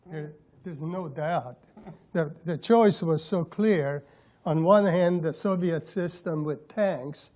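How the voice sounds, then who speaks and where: A man answers firmly and without hesitation. He speaks slowly, and his voice is fairly high but mellow in tone. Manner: firm, emphatic. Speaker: panelist. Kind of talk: firm reflective answer.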